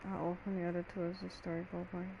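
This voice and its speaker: A woman giving casual gameplay commentary, thinking aloud as she plays, in a creaky voice. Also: lowish voice, little pitch movement, racing, noisy background. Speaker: gaming streamer. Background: loud game music.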